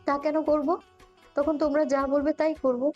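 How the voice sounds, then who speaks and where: A woman's voice: high-pitched, level tone, a little slow, darkish tone. A woman playing a trusting, lovestruck young woman in an audio love story. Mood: earnest, resolute. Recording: dull lo-fi voice, music behind.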